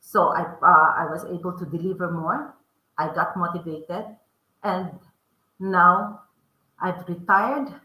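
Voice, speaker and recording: Middle-aged woman, Filipino accent, earnest, restarts often, bright tone, echoey room. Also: fairly low pitch, fairly even intonation, takes it slowly. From Philippines. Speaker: podcast guest, author. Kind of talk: interview answers.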